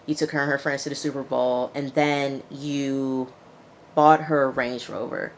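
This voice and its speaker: Woman w low voice doing a chatty tv recap, lots of opinions, noisy background. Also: fairly even intonation, unhurried. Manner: conversational, opinionated, acts out quotes. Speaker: TV show reviewer. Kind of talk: casual reality TV recap commentary.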